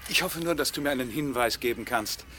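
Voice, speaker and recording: An actor playing a scheming long-lost uncle, a boastful magician, smooth-talking and condescending, in a 1960s German radio play. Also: male voice, mid-range voice, a little fast, natural voice. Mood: calculating. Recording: noisy 1960 radio play recording.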